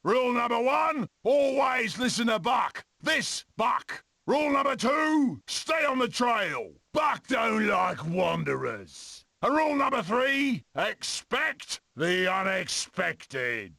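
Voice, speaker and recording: A man voicing a cocky, eccentric weasel survivalist for an animated game, with a British accent, a gravelly voice and theatrical, bossy swagger. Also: extremely high pitch, slow pace, few pauses, dry recording, clean studio sound, heavily acted. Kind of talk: animated game character acting.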